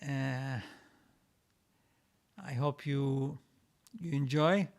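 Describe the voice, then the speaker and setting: A man hosting a live stream, reading out viewer comments and answering them in a halting way, with many restarts and repeated words. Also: mid-range voice, steady tone, a little mellow. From Israel. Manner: reads out comments, then answers.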